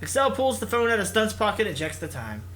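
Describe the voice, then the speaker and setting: A man's voice: high-pitched, big pitch swings, fast, fairly bright tone. A man hosting a gaming video, reading narration expressively and mixing in casual commentary.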